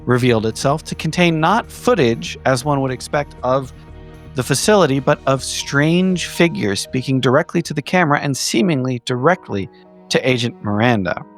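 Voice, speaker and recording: A man narrates a horror roleplaying recap in a mid-pitched voice. His phrasing is measured, formal and wordy, like careful storytelling, with music playing underneath. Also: lively intonation. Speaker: player in a tabletop horror roleplaying game. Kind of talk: in-character recap narration for an actual play. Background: background music.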